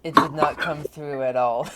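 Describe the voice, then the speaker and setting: A man's voice: on the high side, fairly even intonation, unhurried, a crowd in the background. A man hosting an interview, asking casual questions with a few fillers. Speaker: host, interviewer.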